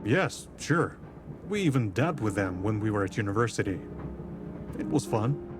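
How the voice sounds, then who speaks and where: An actor playing a composed, skeptical detective in a visual novel, dry and questioning. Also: male voice, lowish voice, sing-song, normal speed. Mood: curious, skeptical. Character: skeptical, composed male detective of imperial Russia. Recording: voice over prominent background music.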